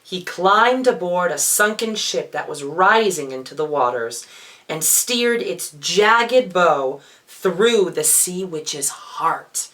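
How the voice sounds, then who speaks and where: A man performing as a drag queen, reading a children's storybook in a theatrical, expressive way with playful asides. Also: on the high side.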